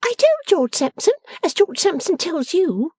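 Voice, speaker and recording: A woman's voice: extremely high pitch, sing-song, measured pace, darkish tone, dry recording, studio quality, voice pushed up, heavily acted. A woman voicing a pert, irrepressible young sister in a storybook, exclaiming and challenging with great melodrama. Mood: triumphant, challenging.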